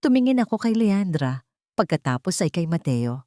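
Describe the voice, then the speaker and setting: A woman narrates a scripted drama in the first person. Her voice sits on the low side, recorded close and dry with very little room sound. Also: sing-song, moderate pace, warm tone, no echo. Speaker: audio story narrator.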